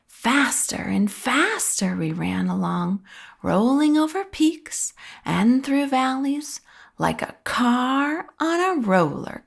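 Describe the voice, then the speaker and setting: A woman reading a children's story aloud with expressive, drawn-out phrasing and a breathy edge at the ends of phrases. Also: on the high side. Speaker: storybook reader.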